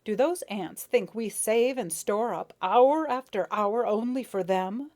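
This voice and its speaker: A woman's voice: mid-range voice, animated, darkish tone. A woman doing a pompous, touchy rose beetle. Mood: indulgent pomp. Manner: indulgent, self-satisfied, quick to anger.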